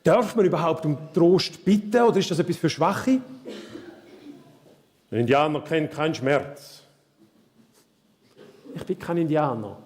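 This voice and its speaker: A man with a Swiss German accent preaching a live sermon, asking the congregation questions in a slow, searching way. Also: on the high side, lively intonation, a little mellow. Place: church hall. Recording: reverberant church hall.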